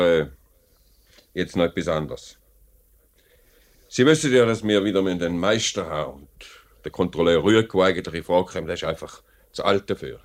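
Actor as low-voiced, measured Swiss factory director. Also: male voice, natural voice. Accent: Swiss German. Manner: measured, formal, noncommittal. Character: measured, authoritative male factory director, businesslike. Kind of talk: 1950s radio play acting.

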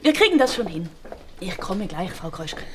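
A woman playing a brisk, helpful coworker. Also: mid-range voice, sing-song, noisy background, natural voice. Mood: reassuring. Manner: friendly, reassuring, brisk. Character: helpful, confident woman tech-savvy coworker. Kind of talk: radio drama acting.

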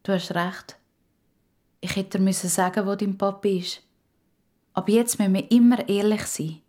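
A woman's voice: medium pitch, steady tone, moderate pace, dark tone, lightly acted. Woman playing a stern, wounded Swiss mother. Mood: apologetic. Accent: Swiss German.

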